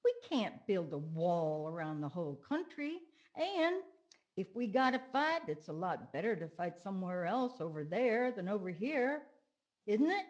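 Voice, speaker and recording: A woman's voice: medium pitch, big pitch swings, moderate pace, darkish tone, highs cut off, close to an everyday voice. A woman playing a blunt, plain-talking townswoman in an audio drama, emphatic in her delivery. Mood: blunt, insistent.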